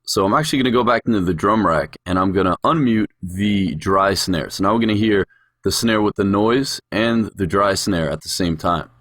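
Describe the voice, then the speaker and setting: A man narrating a software tutorial as an instructor, explaining things step by step. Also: on the low side, normal speed, no echo, studio quality. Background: music production sounds, drum hits.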